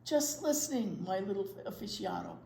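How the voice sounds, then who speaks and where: A woman's voice: mid-range voice, huge pitch swings, a little fast, mellow. A woman voicing an affectionate, playful elderly grandfather from a children's storybook. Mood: fond. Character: affectionate elderly grandpa who loves opera. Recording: roomy echo, faint background noise.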